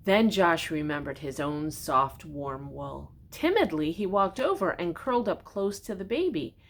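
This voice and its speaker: An older woman reads a picture book to small children with a low, husky voice that creaks at the edges. Her delivery is gentle and expressive, and she speaks straight to her young listeners. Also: unhurried. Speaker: storyteller reading picture books to children. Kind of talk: children's storybook read-aloud with asides to young listeners.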